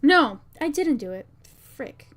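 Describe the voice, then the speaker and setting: A woman with a fairly high voice talks through her work on a casual livestream. She takes her time, speaking very slowly and often muttering to herself as she reads things out and checks them. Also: big pitch swings, a bit bright, faint music behind. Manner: muttering to herself, reading out lines as she works. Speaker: VTuber streamer and game maker. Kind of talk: casual livestream, thinking aloud while testing a visual novel.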